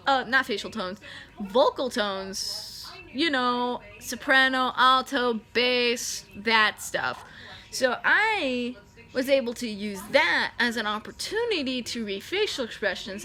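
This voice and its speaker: Young woman vlogger, nineteen, high bright voice, chatty and self-correcting. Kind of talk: casual talking-head explainer.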